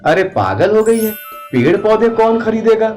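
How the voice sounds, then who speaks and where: A man's voice: high-pitched, very animated, normal speed, a little mellow, soft background music. A man playing a hardworking village tradesman and husband in a storybook tale. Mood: doubtful. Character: hardworking village tailor turned plant seller, a husband.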